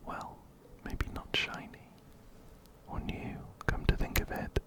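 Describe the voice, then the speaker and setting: A man whispering breathily and gently, very close to a binaural microphone, as he narrates a game and reads its text aloud. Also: slow. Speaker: ASMR video host. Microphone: close-up binaural microphone, ear to ear.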